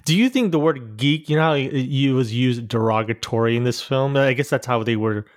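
A man's voice: mid-range voice, a little fast, no room sound, studio quality. A man hosting a casual podcast chat, talking loosely and a bit hesitantly as he runs through things. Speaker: podcast host.

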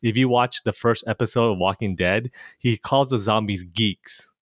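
A man's voice: lowish voice, normal speed, no echo, studio quality. A man co-hosting a casual podcast, chatting in a jokey, rambling way. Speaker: podcast co-host.

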